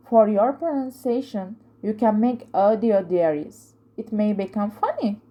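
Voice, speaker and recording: A woman with a Turkish accent and a soft-edged, mellow tone. She talks casually as a vlog host, reading out viewers' answers, earnest and a little halting as she corrects herself. Turkish is her native language. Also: medium pitch. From Turkey.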